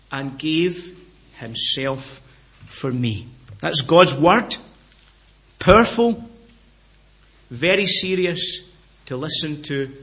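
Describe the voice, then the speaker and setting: A middle-aged man from Scotland with a Scottish accent, preaching a sermon in an earnest way, repeating phrases and slowing down to stress key words. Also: a little high, pushed and bright.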